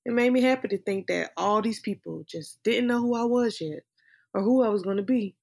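Woman doing a wistful dreamer lady, film monologue, reflective and drawn out, medium pitch. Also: fairly quick, creaky, a little mellow. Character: wistful woman dreamer recalling lost hopes of stardom. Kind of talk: dramatic film monologue reading.

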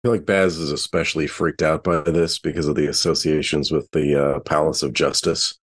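A man's voice: very deep voice, steady tone, fairly quick, husky. A man playing a tabletop roleplaying game, talking casually and reasoning things out aloud.

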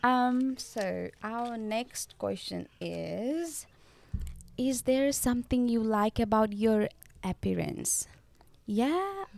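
A woman's voice: a little high, lively intonation, slow pace, vocal fry, a little mellow. A young woman from Nepal co-hosting a casual podcast, chatty and full of fillers and restarts. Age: young adult. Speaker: podcast co-host. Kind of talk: casual chat.

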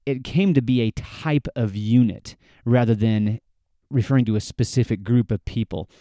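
A man hosting a history podcast, talking at a measured pace and explaining things step by step, with frequent restarts. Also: mid-range voice, dark tone, dry recording, clean studio sound. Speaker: podcast host. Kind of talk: solo history podcast talk.

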